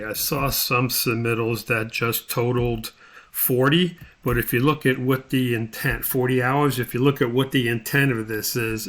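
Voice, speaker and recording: A man giving an unscripted step-by-step software tutorial, talking haltingly as he thinks aloud while he works. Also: medium pitch.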